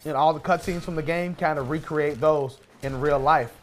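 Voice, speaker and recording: Male podcast co-host with a somewhat high voice, arguing casually and insistently, slight ambient noise. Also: moderate pace. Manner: insistent, repeats himself for emphasis. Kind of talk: casual debate.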